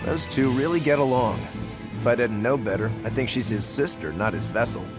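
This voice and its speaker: A man's voice: mid-range voice, a little fast, lightly acted. A man voicing a breezy, roguish, encouraging young joker in a dubbed video game, with a casual, cajoling manner. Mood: musing. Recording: faint music behind the voice.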